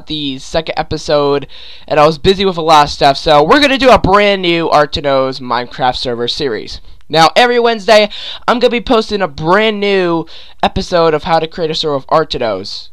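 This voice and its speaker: A man's voice: fairly high pitch, a bit bright, smooth. A young man with a sore throat and stuffy nose, rambling with lots of fillers while walking through a screen-recorded game tutorial. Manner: rambling, many fillers and restarts. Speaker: gaming YouTuber.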